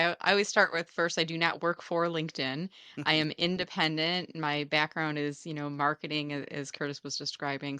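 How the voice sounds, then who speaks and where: A woman's voice: low-pitched, bright, forceful tone, clear, resonant tone, no echo. A woman speaking as a guest coach in a podcast interview, explaining her work conversationally.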